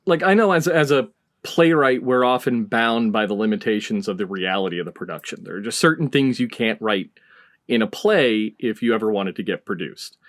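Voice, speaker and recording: A man's voice: fairly low pitch, fairly quick, a bit bright. Man asking questions in casual interview. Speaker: podcast interviewer and playwright.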